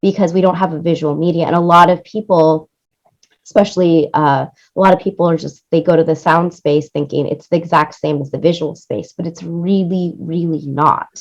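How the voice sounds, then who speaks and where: A woman's voice: lowish voice, normal speed, muffled recording. A woman being interviewed about her audio production work, explaining casually and animatedly, often checking for agreement. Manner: animated explaining, acts out quoted lines, seeks agreement with "right?". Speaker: interview guest, music supervisor and audio producer.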